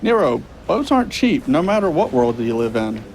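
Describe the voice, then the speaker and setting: A man's voice: fairly high pitch, big pitch swings, moderate pace, darkish tone, a little hiss or hum, low-quality recording. An actor playing an earnest, easily exasperated young man, a concerned friend, in a naturalistic audio drama. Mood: doubtful, wondering.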